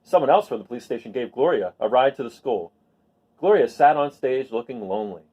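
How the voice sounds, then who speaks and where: A man's voice: medium pitch, animated, brisk, dark tone, husky, poor audio. A man reading a picture book aloud expressively, emphasizing key words and adding chatty asides to his listeners.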